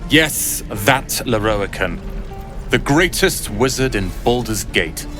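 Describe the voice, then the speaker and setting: An actor playing a pompous, boastful wizard's apprentice in a video game, self-important and haughty. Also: male voice, medium pitch, animated, slow, music in the background. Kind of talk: video game character acting.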